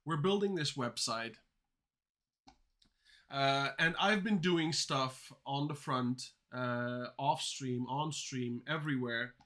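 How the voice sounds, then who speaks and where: A man's voice: mid-range voice, takes it slowly, fairly bright tone. A man whose first languages are Dutch and Turkish, chatting casually on a coding livestream and answering chat questions as he goes. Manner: rambling, answers chat questions mid-talk. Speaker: streamer learning to code.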